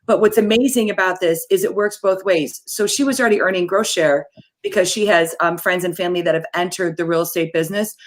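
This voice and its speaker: An energetic woman hosts a casual interview over a video call. Her voice sits a little low, and she talks in quick, chatty bursts with restarts. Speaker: host.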